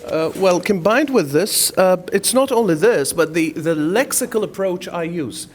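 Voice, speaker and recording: A man in the audience of a conference workshop, speaking emphatically and filling pauses with "basically" and "you know". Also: high-pitched, expressive. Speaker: audience member, lecturer. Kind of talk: spontaneous comment. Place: conference room.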